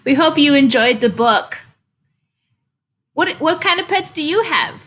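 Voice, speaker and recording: A woman librarian reading a children's story aloud, expressively and deliberately, speaking directly to young listeners. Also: high-pitched, expressive, fairly bright tone.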